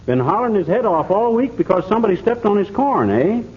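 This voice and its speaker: A blustering, scatterbrained husband in middle age in 1940s radio comedy. He talks in a folksy, exclamatory way with highly animated pitch, on a muffled, noisy old recording. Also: fast. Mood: bustling. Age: middle-aged.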